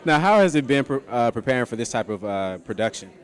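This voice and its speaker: A young man working as an interviewer, asking casual questions in a halting way and rephrasing as he goes. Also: mid-range voice, sing-song. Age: young adult. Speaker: interviewer, TV host.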